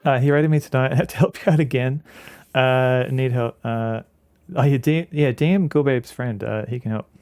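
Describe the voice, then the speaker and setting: A man's voice: medium pitch, moderate pace, darkish tone, smooth, dry recording. A man streaming a game and chatting casually with viewers, rambling with lots of restarts and fillers. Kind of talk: casual chat with viewers while playing a game.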